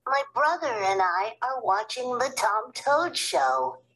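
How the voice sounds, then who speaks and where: A woman's voice: a little high, big pitch swings, slow, fairly bright tone, voice pushed up, exaggerated voice, poor audio. A woman voicing a cheeky, playful young boy in a storybook reading.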